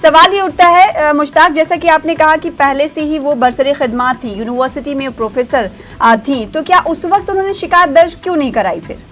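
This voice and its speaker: A woman's voice: high-pitched, a little fast, a bit bright. A woman with an Indian accent presenting a news bulletin as the anchor. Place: broadcast news studio.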